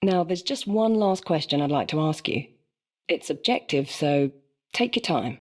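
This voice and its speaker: Measured journalist for a game; lowish, slightly husky voice. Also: female voice, lively intonation, darkish tone. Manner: polite, measured questioning. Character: calm female journalist, probing. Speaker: journalist interviewer. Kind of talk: game cutscene voice acting.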